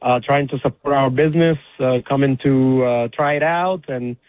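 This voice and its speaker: A man from Egypt who owns an ice cream shop, speaking politely with many pauses over the phone as a caller. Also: medium pitch, no echo. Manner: polite, hesitant with fillers. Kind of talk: phone interview. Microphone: phone line. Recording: tinny phone line.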